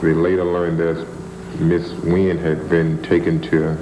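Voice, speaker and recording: Male police spokesman, deep voice, official and factual, echoey room with loud hum. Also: level tone, unhurried. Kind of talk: formal interview statement.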